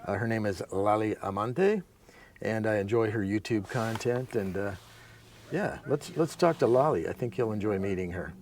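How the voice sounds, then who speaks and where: A man hosting an interview, who asks questions in a calm, relaxed way and adds short prompts. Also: lowish voice, normal speed. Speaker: interview host. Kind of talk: interview conversation.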